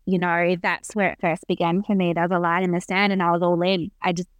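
A woman's voice: lowish voice, little pitch movement, fast talker, dry recording. A woman in her thirties, a podcast guest talking earnestly and reflectively about her own experience. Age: 30s. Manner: earnest, reflective, with frequent restarts. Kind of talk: casual interview conversation.

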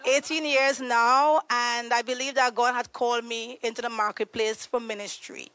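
A woman from Trinidad and Tobago, a podcast guest explaining trauma in an earnest, conversational way, pausing to search for words. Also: a little high, steady tone, sharp tone, clean tone, low-quality recording. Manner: earnest, searching for words, frequent ums and restarts.

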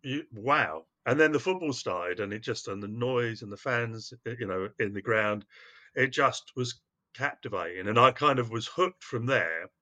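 A man's voice: medium pitch, measured pace, pushed and bright. A man from Wales, a guest on a podcast, telling his story in a rambling, hesitant way over a call. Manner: rambling, hesitant, many restarts and fillers. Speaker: podcast guest, news publication chief executive. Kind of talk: casual interview storytelling. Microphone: call audio. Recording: dull lo-fi call audio.

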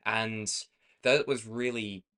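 A man's voice: fairly low pitch, fairly even intonation, slow, sharp tone. A young Australian man co-hosting a podcast, talking in a rambling, self-correcting way and laughing easily. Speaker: podcast co-host. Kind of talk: casual chat.

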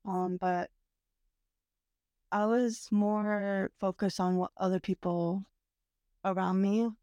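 A woman talking casually with her brother as a podcast guest, reflective and hesitant, with frequent pauses and restarts. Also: medium pitch, flat delivery, mellow, clean tone, dry recording, dull audio. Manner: hesitant, reflective, many fillers and restarts, occasional laughs. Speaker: guest, host's sister. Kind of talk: casual conversation. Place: parents' house.

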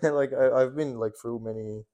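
A man's voice: mid-range voice, steady tone, moderate pace, dark tone, dull audio. A young man in his twenties from Iran, a dental student answering interview questions in a hesitant way, with many fillers and restarts. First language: Persian. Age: 20s.

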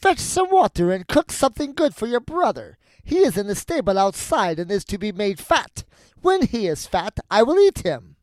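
A man voicing a very old, sly and bossy wicked witch for a storybook, with slow, drawn-out words. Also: high voice, big pitch swings, darkish tone, no echo, pitched above the performer's own voice. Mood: harsh ordering. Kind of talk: storybook fairy tale character voice.

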